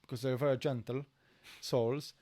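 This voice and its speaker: A middle-aged man with a Polish accent speaks English as a second language, chatting casually as a podcast guest with halting phrasing, repeated words and many fillers. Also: medium pitch, fairly even intonation, dark tone. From Poland. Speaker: podcast guest, door security worker.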